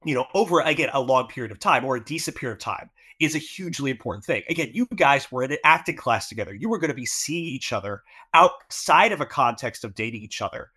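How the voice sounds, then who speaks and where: A man with a bright, forward voice talks through his ideas in a casual podcast chat. He explains thoughtfully, leaning on fillers as he goes. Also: medium pitch, lively intonation, quick pace, hardly any pauses, slightly rough. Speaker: podcast co-host.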